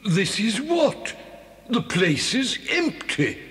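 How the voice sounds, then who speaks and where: A man's voice: sits high, sing-song, slow pace, a bit bright, breathy edge, full character voice. A man playing a worried, respectable badger in a children's audio drama, hesitant and conscientious. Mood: puzzled. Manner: hesitant, fretful.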